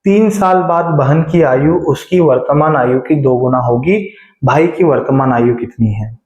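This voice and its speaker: A man's voice: on the high side, darkish tone, roomy sound, dull audio. A man teaching a math lesson for exam prep, explaining step by step and often checking that students follow. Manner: stretches key phrases slowly while reading the question aloud.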